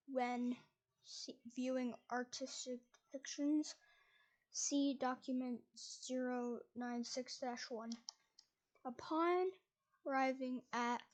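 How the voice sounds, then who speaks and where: Woman, high voice, monotone, halting read-through, frequent restarts. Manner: halting reading with restarts and stumbles. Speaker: reader. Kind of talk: reading a document aloud.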